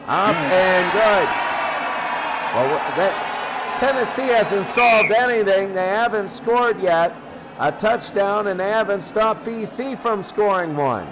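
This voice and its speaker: Slow-paced, high-voiced football announcer, man. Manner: short clipped phrases, repeats himself. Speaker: play-by-play sports announcer. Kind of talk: American football radio commentary. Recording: noisy recording with vehicle-like rumble.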